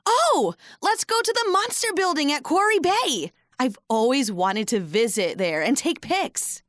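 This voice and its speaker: A woman acting a perky, lively young sidekick. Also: sits high, measured pace, no room sound, studio quality. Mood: excited. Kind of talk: audio drama acting.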